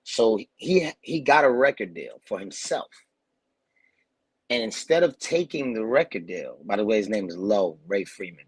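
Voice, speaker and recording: Husky-voiced man telling stories in a laid-back way. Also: fairly low pitch, lively intonation, moderate pace, no room sound, low-quality recording. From New Jersey. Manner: laid-back, laughing storyteller with slang. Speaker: guest actor. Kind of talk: casual storytelling interview. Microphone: video call.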